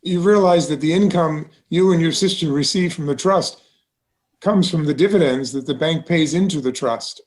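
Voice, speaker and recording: A genial banker uncle, acted by a man with a fairly high voice. He speaks carefully, as a cautious trustee would, in the scripted dialogue of a musical table read. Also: normal speed, a little mellow, lightly acted. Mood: measured, avuncular. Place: recorded online.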